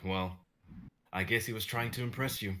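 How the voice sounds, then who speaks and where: A man playing a puzzled young restaurant host in a low-key, conversational script reading. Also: fairly even intonation, normal speed, bright, forceful tone, a little hiss or hum. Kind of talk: dramatic reading of sketch script.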